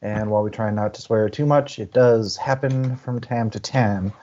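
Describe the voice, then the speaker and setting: A man's voice: fairly low pitch, fairly even intonation, normal speed, mellow, lo-fi sound. A man co-hosting a book discussion stream in an informal, conversational way. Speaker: podcast co-host. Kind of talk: casual book-chat livestream hosting.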